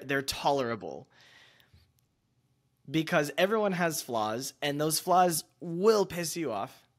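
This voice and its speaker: A man hosting a podcast, musing in a relaxed, conversational way and often using fillers. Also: fairly high pitch. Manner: reflective, musing, with loose fillers like "like" and "you know". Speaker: podcast host. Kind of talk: casual conversational chat.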